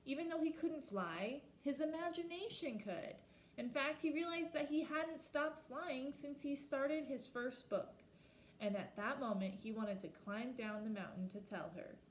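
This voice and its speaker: A woman reading a children's picture book aloud expressively, slowing down for emphasis and pausing to ask her listeners questions. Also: high voice, reverberant, a little hiss or hum. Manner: asks listeners reflective questions. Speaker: storybook reader.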